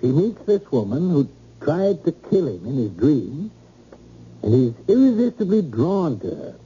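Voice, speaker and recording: A man's voice: on the high side, sing-song, unhurried, mellow, slightly rough, full character voice. A man playing a grave, earnest doctor with emphatic rhetorical phrasing, in old-time radio drama style on a muffled recording.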